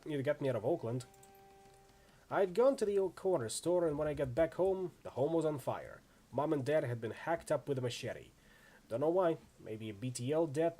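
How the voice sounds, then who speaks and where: A man's voice: medium pitch, warm tone, husky. A man voicing a friendly, easygoing orc swordsman in a video game, chatty and full of laid-back street slang. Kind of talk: acted reading of role-playing game dialogue.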